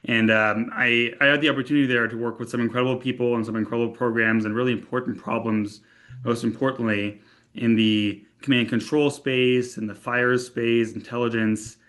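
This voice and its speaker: A man's voice: medium pitch, steady tone, brisk, fairly bright tone. Conversational young man from Austria. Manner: conversational, many fillers and restarts. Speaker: guest, tech company co-founder. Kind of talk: casual podcast interview.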